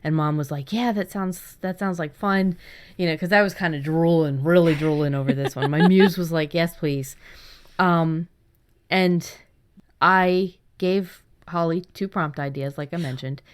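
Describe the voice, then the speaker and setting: Woman, podcast host, low pitch, clear smooth tone, casual chat, enthusiastic delivery. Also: unhurried. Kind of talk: casual podcast chat about fiction writing.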